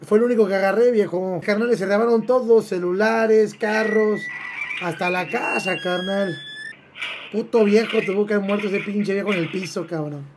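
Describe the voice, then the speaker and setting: A man's voice: high-pitched, steady tone, normal speed, background noise. A man in his twenties with a Mexican accent, talking casually in crude slang on a prank phone call. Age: 20s. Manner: crude, swearing slang-heavy talk. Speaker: prank caller, streamer. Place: small dark room at home, streaming setup.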